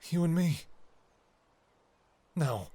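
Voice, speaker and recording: A man's voice: on the high side, expressive, very slow, mellow, slightly rough, no echo, exaggerated voice. A man playing a devoted, anxious doctor talking to a lover in an intimate ASMR audio roleplay, with a hesitant, emotionally raw delivery. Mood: pleading.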